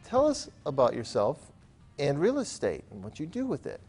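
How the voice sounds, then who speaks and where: A man's voice: fairly high pitch, huge pitch swings, normal speed, a little mellow, soft background music. American man hosting a business interview. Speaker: host, interviewer. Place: TV studio.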